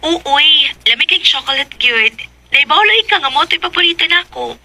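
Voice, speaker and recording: A woman's voice: high-pitched, animated, moderate pace. An actress with a Cebuano Filipino accent playing a casual young woman accepting a suitor's gifts in a radio drama. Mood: pleased, distracted. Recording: clearly noisy line, clicks.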